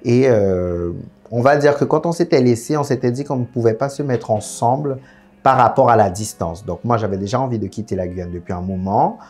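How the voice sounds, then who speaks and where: A man's voice: mid-range voice, a little fast, a little mellow, breathy edge, soft background music. A young man from French Guiana, a guest in a casual interview, telling his story frankly with lots of fillers. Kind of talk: candid casual interview chat.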